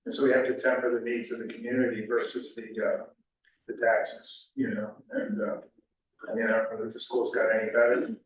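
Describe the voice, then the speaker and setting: Heard from a distance in a very echoey room, an American man talks quickly and evenly. He rambles conversationally, as a resident addressing a town meeting. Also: medium pitch, level tone. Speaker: resident giving public comment. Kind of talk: informal public comment at a town meeting. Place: indoor public meeting room.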